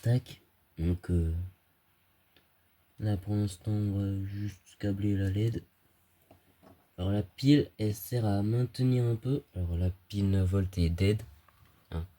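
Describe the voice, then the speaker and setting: A man's voice: on the low side, takes it slowly, pauses often, warm tone. A young man with a cold talks through an electronics project casually, hesitating often and using slang. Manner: hesitant, many fillers, slangy. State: stuffy with a cold. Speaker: hobbyist electronics youtuber. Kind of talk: casual screen-recorded tutorial.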